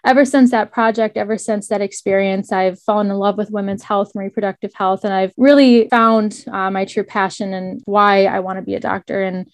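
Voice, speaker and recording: A woman's voice: medium pitch, steady tone, brisk, hardly any pauses, no room sound. Earnest young woman, dry close sound. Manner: earnest, reflective, slightly hesitant. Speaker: student guest. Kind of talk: personal story in an interview.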